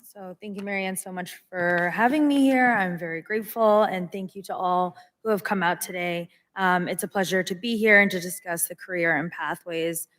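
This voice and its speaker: A woman's voice: on the low side, moderate pace, few pauses, a bit bright. A woman moderating a conference panel, politely thanking the hosts and guiding the introductions. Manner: gracious and polite. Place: conference hall.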